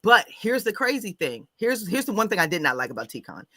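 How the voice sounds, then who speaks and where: A woman co-hosts a casual livestream with a bright, forward voice. She tells stories about her week in an upbeat, chatty way, and her voice sounds dry and close to the mic. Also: mid-range voice, sing-song, fairly quick, no echo. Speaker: co-host.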